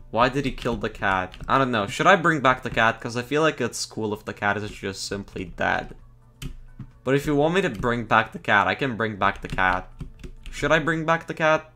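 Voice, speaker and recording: A young man casually commentating his own game stream, excitable, laughing often and groaning at his fails. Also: medium pitch, moderate pace, soft background music. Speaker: gaming streamer.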